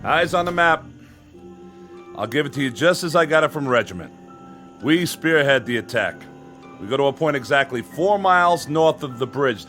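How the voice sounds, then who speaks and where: Commanding officer, businesslike, authoritative, clipped, fairly high pitch, radio drama, faint music. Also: male voice, pushed and bright. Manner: authoritative, clipped, matter-of-fact. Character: businesslike army officer briefing his men.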